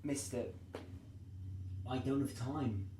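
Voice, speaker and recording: A man's voice: mid-range voice, takes it slowly, mellow, slight background noise, natural voice. An actor playing a harried, polite man who wants to get away. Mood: anxious. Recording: echoey, distant, music behind.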